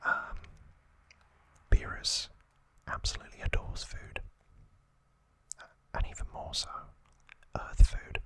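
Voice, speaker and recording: Whispering man, slow ASMR delivery. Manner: hushed, deliberate, short phrases with pauses. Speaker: ASMR host. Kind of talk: whispered ASMR episode recap.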